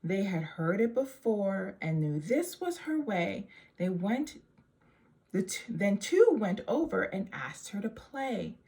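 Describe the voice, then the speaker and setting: Slow-paced woman reading a storybook. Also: mid-range voice, sing-song, dark tone. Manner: measured, rhyming verse delivery. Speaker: storybook reader. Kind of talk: read-aloud children's story.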